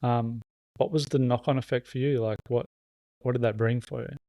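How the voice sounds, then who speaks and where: A man hosting a podcast, calm and easy-going, asking open questions. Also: medium pitch, normal speed, no echo. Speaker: podcast host. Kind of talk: casual chat.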